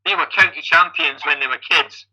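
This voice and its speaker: A man's voice: a little high, normal speed, bright, forceful tone, poor audio. A man in his fifties with a Scottish accent, a podcast guest telling his life story conversationally, often restarting his phrases. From Scotland. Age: 50s. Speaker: podcast guest, amateur endurance athlete.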